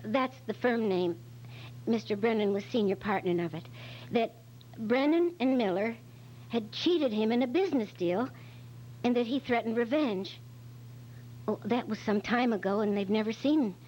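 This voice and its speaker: A woman's voice: a little high, lively intonation, normal speed, darkish tone, lightly acted. A woman playing a flustered, anxious secretary who hesitates and stammers as a witness in an amateur radio detective drama. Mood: hesitant, explaining. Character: nervous, flustered female secretary, evasive witness. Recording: dull, lo-fi recording with faint hum.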